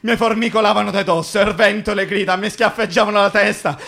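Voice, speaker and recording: A rambling Roman workman's ghost, high-voiced. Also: steady tone, fairly quick, gravelly, pushed and bright, heavily acted. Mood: anguished, lyrical. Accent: Roman Italian. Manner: rambling, colloquial, incantatory repetition. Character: dead male construction worker, bitter, tender, rambling ghost. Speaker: stage actor. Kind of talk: theatrical radio monologue in dialect.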